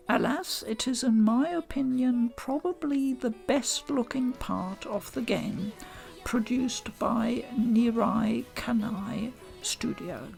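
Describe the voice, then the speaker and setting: A woman reading a scripted game review voice-over in a measured, articulate and deliberate way. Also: a little high, creaky. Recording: home voice-over with music underneath. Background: game music.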